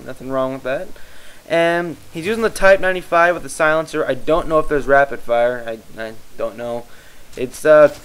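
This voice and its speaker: Rambling young man, smooth voice. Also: on the high side, normal speed, rough recording. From Midwest US. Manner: rambling, distracted, loses his train of thought. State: tired. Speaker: YouTube gaming commentator. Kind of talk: casual voice-over commentary over gameplay.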